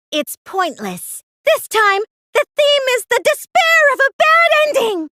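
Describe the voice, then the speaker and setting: A woman's voice: very high-pitched, sharp tone, no echo, clean studio sound, heavily acted. A woman playing a girlish, smug mastermind in an English anime-style game dub, cheerfully menacing, theatrical and taunting as she insists on her point. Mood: dismissive, grim. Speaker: video game voice actor.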